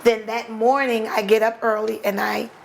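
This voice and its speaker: A woman's voice: a little high, flat delivery, moderate pace, creaky, slight background noise. An older woman from New York with a New York accent, a baker interviewed as a guest, talking in an easygoing, plainspoken way. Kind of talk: casual interview.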